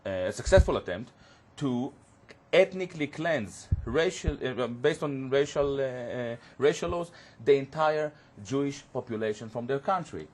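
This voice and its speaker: Male panel guest, Hebrew native, halting delivery full of fillers, studio setting. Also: mid-range voice, animated, darkish tone. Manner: halting, searching for words, many fillers. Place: community TV studio.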